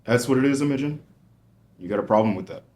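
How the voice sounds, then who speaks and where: A man's voice: medium pitch, quick pace, a little mellow, close to an everyday voice. A man playing a grieving, defensive character who turns prickly, in a screenplay table read. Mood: defensive anger. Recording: roomy echoing table-read recording.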